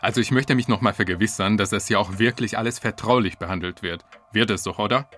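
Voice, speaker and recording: A man's voice: lowish voice, fast talker, fairly bright tone. A man playing a fussy, cautious bachelor in a comic audio drama, hesitant and over-polite. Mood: fussy, anxious. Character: fussy, cautious bachelor having a secret affair.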